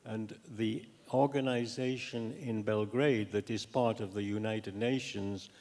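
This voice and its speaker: An older man speaks slowly and deliberately, with a gravelly creak in his lowish voice. He halts as he builds his academic points, and a long hall reverb trails behind him. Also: fairly even intonation, darkish tone. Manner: measured, halting, deliberate. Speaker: professor giving a talk and taking questions. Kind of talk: academic lecture and answers to audience questions.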